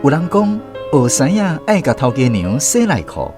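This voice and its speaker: A reflective Taiwanese narrator looking back on his boyhood in a radio play. His middle-pitched voice moves freely up and down as he explains things, with light music underneath. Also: sing-song, normal speed, a little mellow. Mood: puzzled, reflective. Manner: reflective storytelling, explains as he goes. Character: man recalling his boyhood as a cinema narrator's apprentice. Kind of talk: scripted first-person radio drama narration in Taiwanese.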